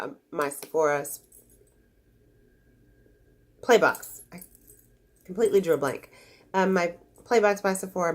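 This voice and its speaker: A woman beauty vlogger with a congested voice, chatting casually and gushing as she unboxes makeup samples. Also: mid-range voice. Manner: chatty, gushing, easily disappointed.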